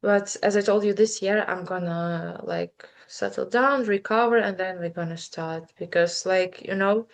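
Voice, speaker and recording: A woman's voice: medium pitch, fairly even intonation, a little slow, gravelly, hardly any pauses. A woman in her twenties with a Ukrainian accent, a native Ukrainian speaker talking as an interview guest in a thoughtful, hesitant way. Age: 20s. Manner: thoughtful, hesitant, many fillers and restarts. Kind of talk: casual interview chat.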